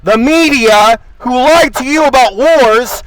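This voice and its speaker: A man's voice: very high voice, slow pace, fairly bright tone, no echo, low-quality recording. A man delivering an impassioned, preachy monologue as an online video host, with a confrontational, grandiose and profane manner.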